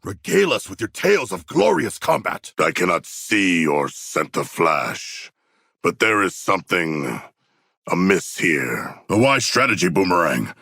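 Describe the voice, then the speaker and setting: Gravelly, formal shark warrior. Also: male voice, low-pitched, big pitch swings, a little slow, bright, forceful tone, dry recording, clean studio sound, heavily acted. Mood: subdued, reflective. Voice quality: gravelly, husky. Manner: formal stilted diction, earnest grandiose declarations. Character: hulking male humanoid shark warrior, formal, earnest, proud demigod. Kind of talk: video game character dialogue.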